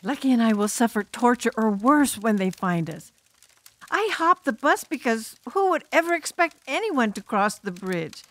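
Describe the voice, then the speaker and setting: Woman as grave teenage drum major, slightly high. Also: lively intonation, normal speed, close to an everyday voice. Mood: fearful, resigned. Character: fearful, apologetic teenage student drum major. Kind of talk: audiobook character acting.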